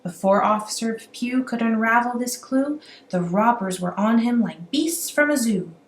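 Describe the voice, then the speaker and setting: A woman reading a rhyming children's story aloud in an animated, amateur storytelling style. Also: a little high, moderate pace. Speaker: amateur children's book reader. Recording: roomy echo, faint background noise.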